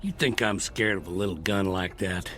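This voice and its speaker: An actor playing a ruthless, bitter Old West outlaw with a folksy, contemptuous drawl in an old television drama. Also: male voice, sits low, normal speed, background noise, lightly acted. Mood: bitter, scornful. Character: ruthless, bitter 1880s western outlaw, hardened and menacing.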